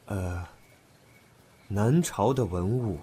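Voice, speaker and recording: A man acting in a Chinese audio drama as an earnest, thoughtful young man, expressive and musing. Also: fairly low pitch, very slow, slight background noise. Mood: curious.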